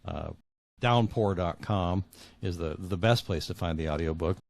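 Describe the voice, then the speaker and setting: A man's voice: low-pitched, normal speed, creaky, dry recording, studio quality. A man answering interview questions as an author, conversational and hesitant, often rephrasing as he goes.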